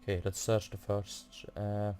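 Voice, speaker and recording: A man's voice: fairly low pitch, monotone, measured pace, warm tone, soft background music. A man giving casual gameplay commentary, thinking aloud in a hesitant, muttering way. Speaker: gamer playing through a quest.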